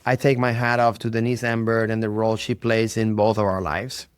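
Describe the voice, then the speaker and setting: Clear-voiced Puerto Rican man, earnest. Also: fairly low pitch, level tone, fairly quick, no room sound. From Puerto Rico. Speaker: interview guest, performing artist. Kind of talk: reflective conversational interview.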